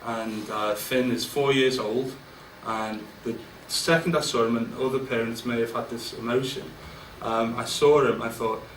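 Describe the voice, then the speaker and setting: A man talks to a roomful of people in a candid, self-deprecating way, stopping and restarting often. He sits at a middling pitch, and he is picked up from across a roomy space with a faint hum of ambience. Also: steady tone, unhurried, hardly any pauses, far from the mic. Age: 40s. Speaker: guest speaker. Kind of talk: informal business speech. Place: large event room with an audience.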